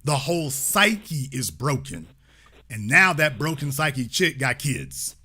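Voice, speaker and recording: A man's voice: mid-range voice, sing-song, takes it slowly, pushed and bright, husky. A man hosting a call-in show, lecturing in an emphatic way. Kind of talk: talk radio commentary.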